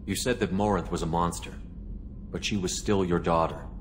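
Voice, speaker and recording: An actor playing a steady, businesslike military commander in a video game, asking direct questions. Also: male voice, low-pitched, normal speed, in a large hall, slight background noise, close to an everyday voice. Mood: probing, sympathetic. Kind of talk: video game dialogue acting.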